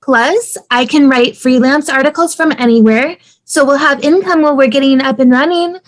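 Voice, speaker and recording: A woman acting as an eager young wife at a table read. Her voice is high and she talks persuasively, in an everyday conversational style. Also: normal speed, lightly acted.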